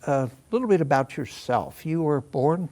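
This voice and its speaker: A man's voice: on the high side, lively intonation, normal speed, darkish tone. A man hosting an interview, opening with a scripted introduction and then asking polite, curious questions. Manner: courteous, inquisitive. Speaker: interview host.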